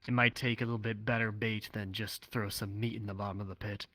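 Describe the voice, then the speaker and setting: A man's voice: on the low side, flat delivery, fast, fairly bright tone, dry recording. A man playing a knowledgeable, pragmatic trapper for hire in an improvised VR roleplay, reasoning things out aloud. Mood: pragmatic, pondering. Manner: analytical, thinks aloud with hedged reasoning. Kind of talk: improvised in-character roleplay in a social VR game.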